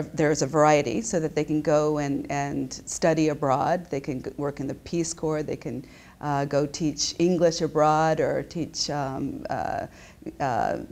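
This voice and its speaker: Woman professor, low voice, grew up in japan, explaining research, seated interview in an office, restarts and fillers. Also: darkish tone. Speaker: business school professor. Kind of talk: interview answers. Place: indoor office.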